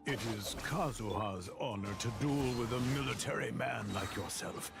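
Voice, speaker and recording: A man acting a formal, respectful military duellist in a video game, with loud game music behind him. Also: mid-range voice, normal speed, gravelly, natural voice. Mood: courteous, challenging.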